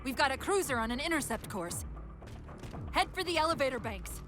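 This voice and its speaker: A game ai companion lady, highish voice, brisk n wry, loud score behind her. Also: sing-song, over music. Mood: tense, businesslike. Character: female AI companion, quick-witted, caring, urgent. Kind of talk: video game cutscene acting.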